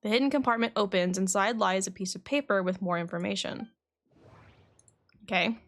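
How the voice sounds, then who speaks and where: A woman's voice: mid-range voice, normal speed, fairly bright tone. A woman streaming a game, reading its dialogue aloud and adding casual commentary, correcting herself as she goes. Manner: self-correcting as she reads. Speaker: game livestreamer.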